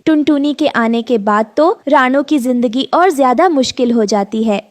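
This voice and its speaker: Female cartoon narrator: high voice, scripted storytelling delivery, dry studio-close sound. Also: a little mellow, no echo.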